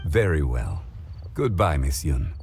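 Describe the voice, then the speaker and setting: A composed, scholarly gentleman from a video game. His voice is deep and formally polite, with expressive rises and falls in measured sentences. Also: vocal fry, faint music behind, exaggerated voice. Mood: courteous, composed.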